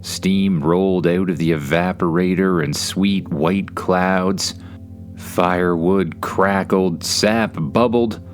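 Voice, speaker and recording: A low-pitched Canadian man narrates a bedtime story for children over guitar music. His delivery is conversational and informal, with a wry, playful dryness. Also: steady tone, takes it slowly.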